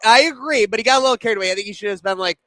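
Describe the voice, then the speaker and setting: Young guy cohosting sports talk, chatty and jokey, crisp bright voice. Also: high-pitched, rapid-fire, no room sound, poor audio. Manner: chatty, joking banter. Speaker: co-host of a sports show. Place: sports pizza pub.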